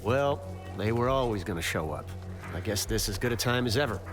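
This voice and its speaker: An actor playing a cocky, reckless adventurer with a wry, flippant manner in a video game cutscene. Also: male voice, on the low side, lively intonation, fairly quick, close to an everyday voice. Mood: urgent, determined. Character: cocky, reckless adult male adventurer ally. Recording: loud music over the dialogue.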